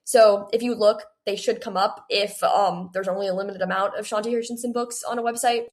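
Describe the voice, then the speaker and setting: A woman's voice: mid-range voice, fairly even intonation, brisk. Chatty eighteen-year-old woman, mid-range voice. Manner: chatty, heavy use of "like". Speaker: guest, young adult author. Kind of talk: conversational interview answers.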